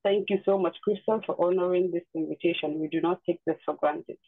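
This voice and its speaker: A woman's voice: lowish voice, fairly even intonation, a little fast, no echo. A woman speaks as a webinar host in a polite, formal manner, welcoming and introducing. Her voice comes through dry and thin, like a phone line. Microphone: video call. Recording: tinny, phone-like webinar audio.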